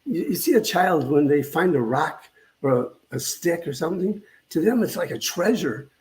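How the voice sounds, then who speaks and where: An older man giving a conversational spiritual talk as a minister, reflective and halting, often repeating phrases as he goes. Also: fairly high pitch, animated, a little mellow, a little hiss or hum.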